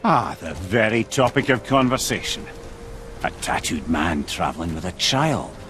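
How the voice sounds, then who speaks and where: A man's voice: sits low, very animated, a little slow, a bit bright, full character voice. A man acting a clever, boastful imprisoned sage in a fantasy video game, wordy and theatrical with a sardonic edge.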